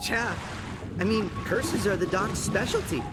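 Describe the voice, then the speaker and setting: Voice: medium pitch, unhurried, lightly acted. An actor playing a cheerful, laid-back young male athlete who is helpful and carefree, in a video game cutscene. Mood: casual. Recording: loud music behind the voice.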